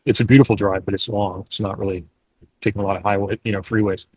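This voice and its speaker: A man's voice: on the low side, little pitch movement, fast talker, darkish tone, husky, dry recording, muffled recording. A man chatting casually as a podcast host.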